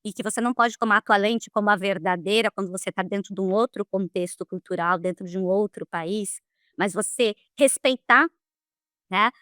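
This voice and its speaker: A Brazilian woman of middle age, a guest speaking in a casual interview, lively and quick to laugh, often ending phrases with "né?". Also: medium pitch, no room sound, studio quality. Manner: lively, animated, quick to laugh, frequent "né?" tags. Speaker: guest, HR leader.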